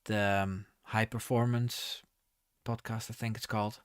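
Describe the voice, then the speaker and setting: A man's voice: on the low side, little pitch movement, a little slow, smooth, no echo, clean studio sound. A man hosting a casual live stream, reading viewer comments aloud and answering with many ums and restarts.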